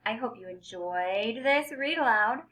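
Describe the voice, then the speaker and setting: Slow-paced woman teacher reading to young children. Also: a little high. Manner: deliberate, expressive, explains words simply for children. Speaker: kindergarten teacher reading aloud. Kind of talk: read-aloud lesson for young children.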